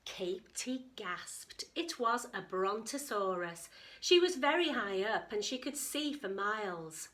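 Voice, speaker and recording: A woman reading a picture book to young children in an expressive storytelling style, pausing for chatty questions and asides. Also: mid-range voice. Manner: animated, addresses young listeners with questions. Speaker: teacher reading story time.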